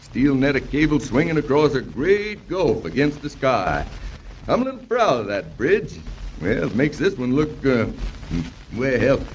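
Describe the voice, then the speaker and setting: Lively, fatherly veteran engineer. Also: medium pitch, a little slow. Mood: modest, fatherly. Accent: American. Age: middle-aged. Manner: folksy, hesitant, avuncular. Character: hearty, modest veteran bridge engineer from Texas, fatherly. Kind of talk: 1930s-40s children's radio serial acting. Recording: muffled old radio broadcast with hiss.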